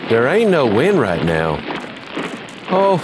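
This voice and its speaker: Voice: medium pitch, huge pitch swings, background noise. An actor in an audio drama playing a bewildered, easily spooked country bumpkin. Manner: halting, folksy.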